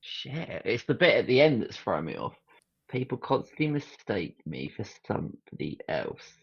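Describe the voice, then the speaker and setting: Male podcast guest, lowish voice, cheerful, muffled mic. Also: sing-song, normal speed, vocal fry, breathy edge. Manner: cheerful, swears casually, thinks aloud. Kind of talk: casual podcast chat.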